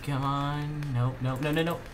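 A man giving casual, halting gameplay commentary as host of a gaming channel, slightly under the weather. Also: a little high, fairly even intonation, background noise.

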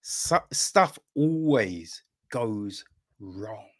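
Older guy doing a podcast interview on a video call, really slow talker, kinda halting. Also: fairly low pitch, animated. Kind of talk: casual interview chat. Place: indoor room at home, on a video call.

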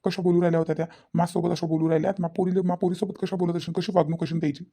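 A woman plays an aggrieved village farmer and father in a comedy cartoon. He speaks very fast in a low, mellow, fairly flat voice with a Varhadi Marathi accent, pressing his questions again and again. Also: breathy edge. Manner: insistent, repetitive questioning. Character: worried middle-aged village father, farmer, protective and aggrieved.

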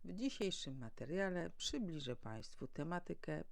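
A woman delivers a formal educational talk in a lowish voice with a noticeable creak. Her intonation moves widely as she explains and enumerates points like a teacher of law. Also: big pitch swings, normal speed, darkish tone. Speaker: legal educator and mediator. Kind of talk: prepared explanatory legal lecture.

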